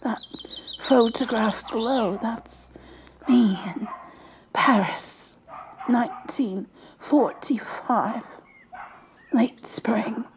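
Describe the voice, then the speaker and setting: A woman voicing a frail, wistful elderly woman who tells wartime memories in a breathy, halting ASMR storytelling whisper. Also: on the high side, slight background noise, full character voice. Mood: somber, remembering. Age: old. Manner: halting, repeating words, trailing pauses.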